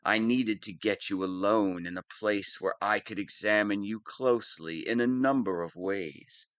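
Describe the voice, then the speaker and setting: A man's voice: fairly low pitch, smooth, no echo. A man playing a theatrical wannabe supervillain in an audio roleplay, pompous and smug with a petulant, whiny streak. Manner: pompous monologuing that slips into whining. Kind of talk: scripted audio roleplay monologue.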